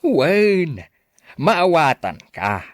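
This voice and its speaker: Concerned, questioning Ilocano father for podcast drama, played by a man; very expressive pitch, dry studio sound. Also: extremely slow, dry recording, exaggerated voice. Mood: reproachful. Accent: Ilocano Filipino. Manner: questioning, admonishing. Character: concerned, admonishing father of a grown married daughter. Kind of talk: audio drama acting.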